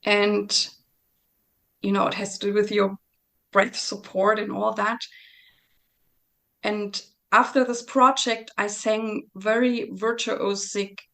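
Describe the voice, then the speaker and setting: Thoughtful woman singer with a gravelly edge. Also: mid-range voice, steady tone, fairly bright tone. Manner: thoughtful, halting, with many restarts and fillers. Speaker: singer being interviewed. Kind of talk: reflective conversational interview.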